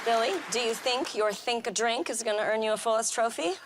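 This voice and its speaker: A woman hosting a TV magic show, enthusiastic and quick to react. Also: a little high, some chatter behind. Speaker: host. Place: TV studio with live audience. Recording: loud studio audience and noise.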